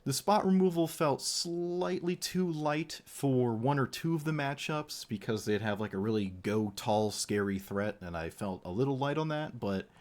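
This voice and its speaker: A man's voice: mid-range voice, normal speed, hardly any pauses, darkish tone. Guy streamer rambling thru card game opinions, chatty, voice kinda wearing out. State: voice getting tired, losing it. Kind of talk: casual unscripted game commentary.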